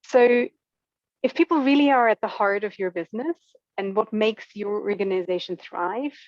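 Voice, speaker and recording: A woman's voice: mid-range voice, no room sound. A woman presents an online workshop, talking in an easy conversational way with frequent ums. The sound is dry and a little dull, like a video call. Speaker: trainer leading a workshop.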